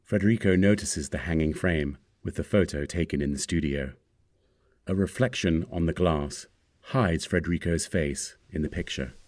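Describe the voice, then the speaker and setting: A man's voice: extremely low pitch, normal speed, darkish tone, dry recording. A man reading screen directions aloud as scripted narration for a screenplay.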